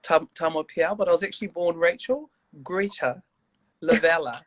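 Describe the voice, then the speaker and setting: A woman from New Zealand with a New Zealand accent, chatting as an interview guest on a video call, warm and rambling with many restarts. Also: on the low side, normal speed, no room sound. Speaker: guest, church leader. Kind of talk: casual interview chat.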